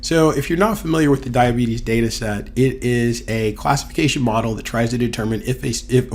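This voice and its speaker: A man with a voice hoarse from a cold, teaching a casual screen-recorded data tutorial as a university instructor, thinking aloud with fillers. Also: mid-range voice, darkish tone.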